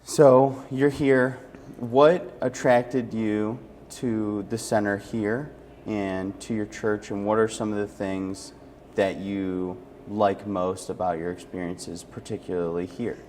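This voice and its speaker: Low-pitched man, encouraging interviewer. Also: fairly even intonation, slow, hardly any pauses, a little mellow, a little hiss or hum. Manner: encouraging, affirming. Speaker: interviewer and host. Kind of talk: friendly conversational interview.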